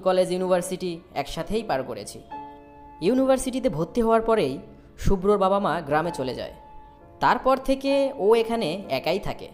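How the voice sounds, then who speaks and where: A woman's voice: lowish voice, darkish tone. A woman playing a polite young city student who narrates a cartoon story in the first person. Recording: prominent music behind the voice.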